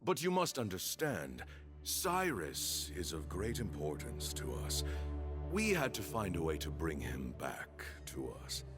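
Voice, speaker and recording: Elderly male temple leader, very deep voice, slow measured pace, formal, courteous, game cutscene. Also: wildly swooping pitch, full character voice. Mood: solemn. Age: older. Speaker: religious order leader. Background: music score.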